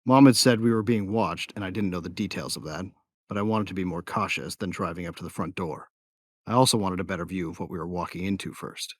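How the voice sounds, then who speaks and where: An actor playing a sardonic, hot-tempered young bounty hunter who narrates an audio drama in a wry, informal way. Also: male voice, low voice, quick pace, dry recording, studio quality. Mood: wry, watchful. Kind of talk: first-person scripted narration of an audio drama. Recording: music behind the voice.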